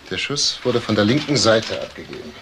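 An actor playing a thoughtful, meticulous forensic investigator in a crime radio drama. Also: male voice, fairly low pitch, sing-song, fairly quick. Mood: pensive. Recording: clear background noise.

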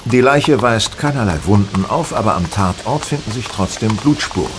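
A man playing a brilliant, self-assured gentleman detective in a German audio drama, explaining things deliberately with casual superiority. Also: fairly low pitch, lively intonation, background noise, close to an everyday voice. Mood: dryly confident.

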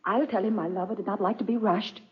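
An actress playing a loyal, conspiring maid in a 1940s radio drama, deferential and hushed. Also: female voice, mid-range voice, fairly even intonation, fast talker, close to an everyday voice. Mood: deferential, conspiratorial. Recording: dull, muffled 1940s radio recording.

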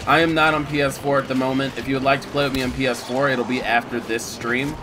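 A man's voice: medium pitch, quick pace, noisy background. Laid-back male game streamer, voice going weak. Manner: relaxed, rambling, greeting viewers. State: voice getting weak. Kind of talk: casual live-stream chat, reading viewer chat.

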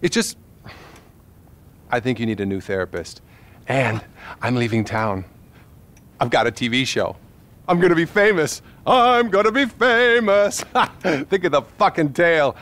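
An actor playing a smug, unhinged celebrity therapist, theatrical and full of clinical jargon, in a video game cutscene. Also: male voice, on the high side, expressive, unhurried, long pauses, fairly bright tone. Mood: gleeful, manic. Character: smug, unhinged, self-promoting celebrity therapist with delusions of grandeur.

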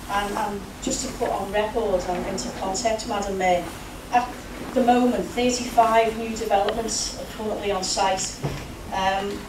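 A woman councillor delivering a formal speech at a council meeting in a large chamber. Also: mid-range voice. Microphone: recorded from the back of the room. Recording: distant, echoey, noisy council chamber recording.

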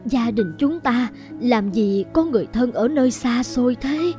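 Woman voicing devoted cartoon mother, mellow, fairly high voice, gentle, worried, coaxing. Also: normal speed, soft background music, natural voice. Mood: anxious. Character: gentle, anxious, devoted poor middle-aged mother. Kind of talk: animated fairy-tale dubbing.

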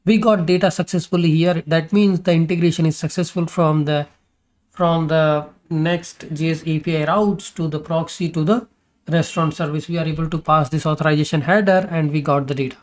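A man's voice: fairly high pitch, steady tone, normal speed. Man talking through code. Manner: talks through code step by step, many fillers and restarts. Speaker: programming tutor. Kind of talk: screen-recorded coding tutorial.

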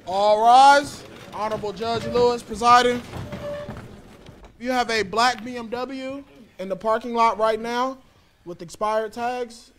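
Man playing a high-pitched cheeky bailiff. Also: fairly even intonation, measured pace, a bit bright. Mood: officious, cheeky. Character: loud, cheeky male courtroom bailiff. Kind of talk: sketch comedy acting.

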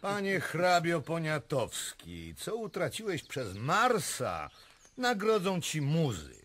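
An actor playing an arrogant, menacing Russian ambassador, quick to rage, in a radio drama. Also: male voice, fairly high pitch, huge pitch swings, fairly bright tone, heavily acted. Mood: haughty. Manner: haughty, menacing.

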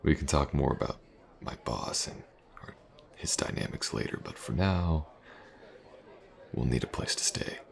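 A man playing a tough, teasing fantasy mercenary in an audio roleplay, speaking in an unhurried, gravelly murmur with pauses. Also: big pitch swings, exaggerated voice. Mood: calm, assured. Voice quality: gravelly, breathy low murmur. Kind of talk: immersive fantasy audio roleplay, intimate improvised monologue.

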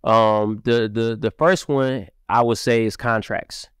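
Guy from cleveland chatting on a podcast, lotta "you know what i mean", smooth clean voice, dry mic. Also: mid-range voice, slow, dry recording. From Cleveland, Ohio, raised partly in Mississippi. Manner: animated, repetitive fillers. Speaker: podcast guest, music marketer. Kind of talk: casual interview talk. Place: podcast studio, indoors. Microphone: studio mic on boom arm.

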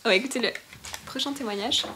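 A woman's voice: on the high side, fast, crowd noise. A young woman casually hosting a podcast and steering the conversation. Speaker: podcast host. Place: small indoor podcast studio. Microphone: podcast microphone on a desk stand.

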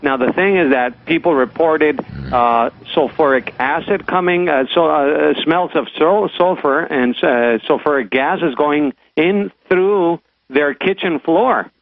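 Male phone-in guest, mid pitch, halting with lots of fillers, phone-quality audio. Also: gravelly. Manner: hesitant, many fillers. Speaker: radio panel guest. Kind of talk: talk radio discussion. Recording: tinny phone line with faint hiss.

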